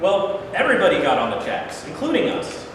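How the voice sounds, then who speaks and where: A man's voice: sits high, wildly swooping pitch, brisk, fairly bright tone, exaggerated voice. A man in a staged reading playing an irritable, plain-spoken American president with a blunt, impatient manner. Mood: testy. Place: live reading in a large echoing room. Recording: very echoey noisy hall, from far off.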